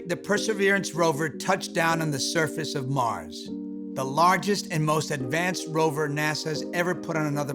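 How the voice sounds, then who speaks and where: A man reading scripted narration as an awards show presenter. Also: fairly high pitch.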